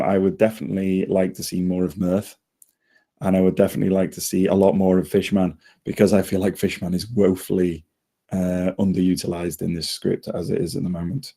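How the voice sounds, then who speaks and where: A man's voice: deep voice, fairly even intonation, moderate pace, mellow. A man giving casual feedback in a group discussion, speaking in a hesitant, rambling and polite way. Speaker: script reader giving feedback.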